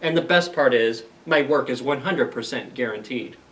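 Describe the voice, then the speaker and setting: A man voicing a slick, showy fix-it salesman creature from a children's storybook, delivering a grandiose sales pitch. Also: moderate pace, fairly bright tone, distant mic, close to an everyday voice, rough recording. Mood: boastful selling. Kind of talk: storybook read-aloud.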